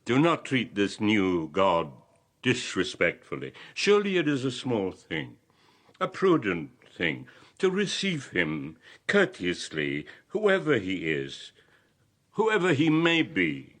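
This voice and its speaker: An actor playing an aged former king and grandfather in a classical radio drama, earnest, deliberate and entreating. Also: lowish voice, huge pitch swings, fairly bright tone, exaggerated voice. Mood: pleading. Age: older.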